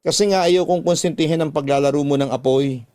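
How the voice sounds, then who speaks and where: A man's voice: a little high, quick pace, darkish tone, no room sound, lightly acted. A man voicing a worried, scolding young woman in a radio drama. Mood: reproachful. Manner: reproachful, probing. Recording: background music under the voice.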